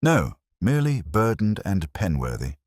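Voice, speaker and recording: A man's voice: deep voice, very animated, measured pace, no echo, clean studio sound, voice pushed up, exaggerated voice. A man voicing a solemn, burdened clairvoyant with a grave, formal manner in a storybook mystery.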